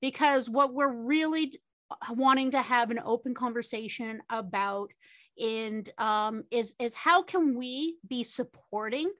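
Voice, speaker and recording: A woman's voice: a little high, unhurried, a bit bright, dry recording. A woman moderating a panel discussion, chatty, with many fillers and tag questions.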